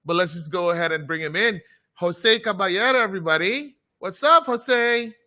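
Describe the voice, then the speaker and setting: Host voice: man, very bright and forward tone, fairly high pitch, upbeat with many fillers. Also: lively intonation, moderate pace. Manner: enthusiastic, hesitant fillers. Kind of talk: live show hosting.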